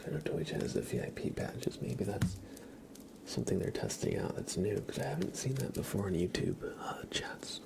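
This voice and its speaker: A soft-spoken man hosting an ASMR livestream, chatting casually and unhurriedly close to the mic, drifting between whispers and a low murmur. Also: big pitch swings, normal speed, creaky. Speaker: ASMR live streamer.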